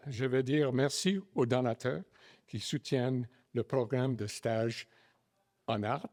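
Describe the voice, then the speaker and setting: A man's voice: medium pitch, a little mellow. A man giving a bilingual welcome address as a donor, with informal, joking asides. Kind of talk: bilingual English and French address. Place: reception room at a faculty club.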